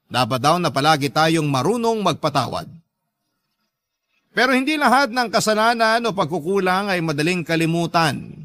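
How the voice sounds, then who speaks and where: Higher-pitched Filipino male radio storyteller. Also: fairly bright tone, no room sound. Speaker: radio host reading listener letters. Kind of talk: dramatic radio storytelling narration.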